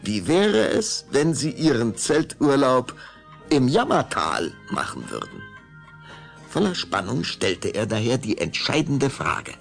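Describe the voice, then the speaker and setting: A man narrating a scripted story dramatically, with measured phrasing and suspenseful pauses. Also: mid-range voice, sing-song, gravelly, soft background music.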